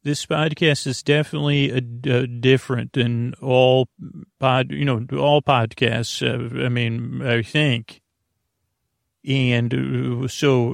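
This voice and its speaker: A man with a creaky, gravelly voice hosting a bedtime podcast in a soothing, halting, rambling monologue that drifts into tangents. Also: mid-range voice, no echo, clean studio sound. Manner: meandering, halting, stop-and-start, trailing off into tangents; soothing, lulling. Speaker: podcast host.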